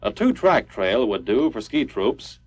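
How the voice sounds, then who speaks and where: A man's voice: medium pitch, sing-song, unhurried, husky. A man reading a scripted 1950s military training film narration in a formal, clipped, instructional manner. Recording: dull lo-fi 1950s film soundtrack.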